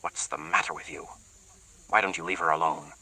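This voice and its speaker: A man playing a tense boss who speaks low, quiet and tight. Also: moderate pace, no echo, recorded from a distance, low-quality recording. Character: tense, controlled male boss.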